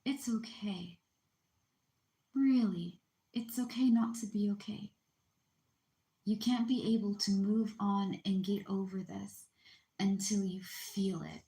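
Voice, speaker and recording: A woman gently comforting viewers in a livestream reading, speaking softly and unhurried, often close to a whisper. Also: mid-range voice, roomy sound. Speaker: spiritual reader on a livestream.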